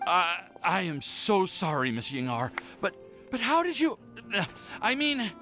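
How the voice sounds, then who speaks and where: A man's voice: high voice, wildly swooping pitch, a little slow, sharp tone, faint music behind, exaggerated voice. A man voicing a flustered, stammering young man in love in a video game.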